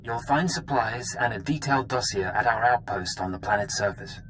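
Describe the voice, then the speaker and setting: An actor playing a grave intelligence official giving a measured, serious briefing in a video game. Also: male voice, medium pitch, a bit bright, lightly acted, low-quality recording. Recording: clear background noise.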